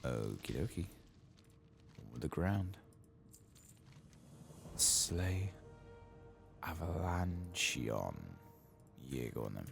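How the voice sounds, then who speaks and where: A man giving soft-spoken, whispered ASMR commentary over a game playthrough, murmuring casually as if to himself. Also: a bass voice, big pitch swings, moderate pace, gravelly, faint music behind. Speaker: gaming ASMR host.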